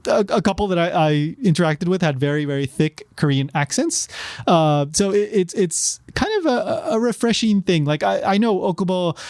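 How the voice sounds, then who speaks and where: A man's voice: sits high, a little mellow, no room sound, studio quality. A man hosting a podcast, chatting casually on his own and rambling with lots of fillers and restarts. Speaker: podcast host. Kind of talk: casual solo podcast talk.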